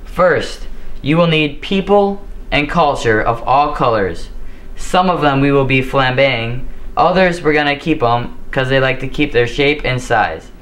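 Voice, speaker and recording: A teenage boy hosting a mock cooking show in a home kitchen, reciting his lines in an earnest, deadpan way. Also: mid-range voice, measured pace. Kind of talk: scripted mock cooking demonstration. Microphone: camera mic, a few steps away.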